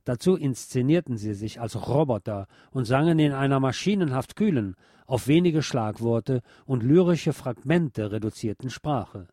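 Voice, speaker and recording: A man presenting a scripted cultural history piece in a measured, articulate, academic manner. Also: mid-range voice, warm tone, no echo, studio quality. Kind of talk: scripted essayistic narration on music history.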